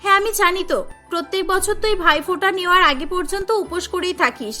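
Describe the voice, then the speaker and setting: A woman voicing a humble, gentle young sister in a cartoon story, sweet and earnest, with music behind. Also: very high voice, fast. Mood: affectionate.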